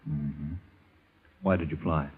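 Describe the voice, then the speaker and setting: An actor playing a reserved, probing husband in an old-time radio drama, grave and measured, on a tinny recording. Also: male voice, a bass voice, fairly even intonation, slightly rough, exaggerated voice. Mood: coolly probing.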